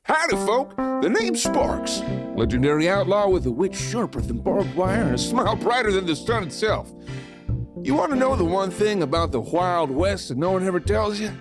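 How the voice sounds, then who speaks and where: Cocky Wild West outlaw with lively swings, acted out. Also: medium pitch, moderate pace, music in the background, close to an everyday voice. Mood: boastful, playful. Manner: showy, folksy, playful swagger. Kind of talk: theatrical first-person storytelling, audio drama.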